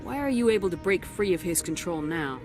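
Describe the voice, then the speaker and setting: Woman playing a low-voiced, no-nonsense military commander. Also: expressive, brisk, a little mellow, faint music behind, close to an everyday voice. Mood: demanding. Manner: direct, clipped, commanding. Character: firm, no-nonsense human military commander heroine. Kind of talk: video game acting.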